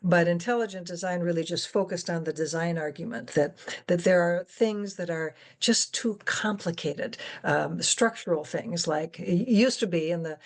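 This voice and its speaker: A woman's voice: on the low side, moderate pace. A woman in a conversational explanatory talk, speaking articulately and emphatically as she lays out her points. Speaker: guest moderator and science education advocate.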